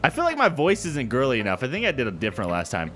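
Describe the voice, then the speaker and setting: Animated man doing let's-play commentary. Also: medium pitch, fast. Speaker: gaming video host. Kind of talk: casual let's-play commentary and dialogue reading. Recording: game music under the voice. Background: game music.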